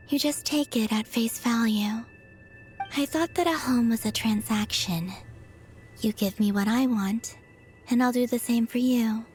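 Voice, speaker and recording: A woman voicing a gentle, wistful young moon goddess in a game cutscene, speaking softly and sincerely in a measured, breathy way. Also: a little high, lightly acted.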